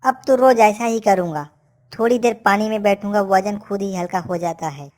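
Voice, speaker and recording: A woman's voice: medium pitch, moderate pace, darkish tone, natural voice. A woman voicing a sly, lazy donkey in a cartoon, crafty and self-satisfied. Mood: gloating.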